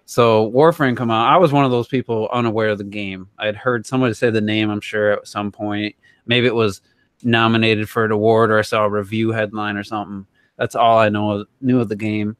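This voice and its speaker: A man with a fairly low voice hosts a relaxed, informal podcast discussion about games. The recording is very dry, with almost no room sound. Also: brisk, clear, resonant tone, dry recording. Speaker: podcast host. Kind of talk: casual gaming podcast discussion.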